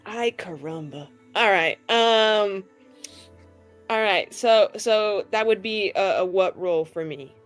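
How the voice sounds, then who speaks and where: A woman's voice: mid-range voice, slow. Female tabletop player, joking casual improviser, average register, music underneath. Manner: playful, rambling, joking. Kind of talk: casual improvised roleplay and game chat. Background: music behind the voice.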